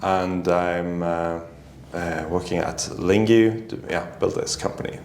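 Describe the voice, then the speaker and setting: A middle-aged man from Norway, a native Norwegian speaker, answering interview questions thoughtfully with many pauses. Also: low-pitched, steady tone, a little mellow, a little hiss or hum. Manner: thoughtful, hesitant, many ums. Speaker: guest, company founder. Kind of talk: casual interview. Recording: echoey room.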